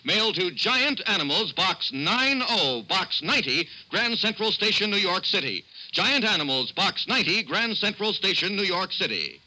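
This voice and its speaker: A man's voice: sing-song, normal speed, few pauses, bright, forceful tone, rough recording. A man announcing a scripted hard-sell mail-order radio ad in an emphatic, exclamatory way. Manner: emphatic, exclamatory sales pitch.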